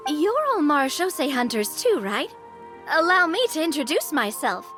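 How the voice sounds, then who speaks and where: A woman's voice: sits high, big pitch swings, moderate pace, fairly bright tone, soft background music. A woman voicing a bold, cheerful young businesswoman in a video game, breezy, confident and coaxing. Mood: confident, encouraging. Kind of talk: animated video game acting.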